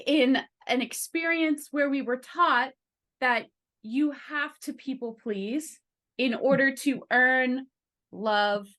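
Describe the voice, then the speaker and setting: A woman's voice: high voice, slow, fairly bright tone. A woman hosting a podcast, upbeat and encouraging as she talks with a guest. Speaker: podcast host. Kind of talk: podcast interview.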